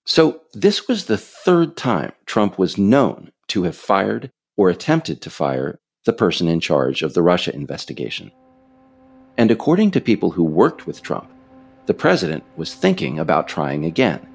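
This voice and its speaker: A man reading scripted political narration as a podcast author, deliberate, with pointed pauses on key phrases. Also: low voice, very animated, gravelly, breathy edge.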